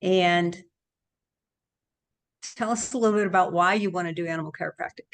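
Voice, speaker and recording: A woman's voice: on the low side, a little fast. Woman hosting a casual interview. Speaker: host.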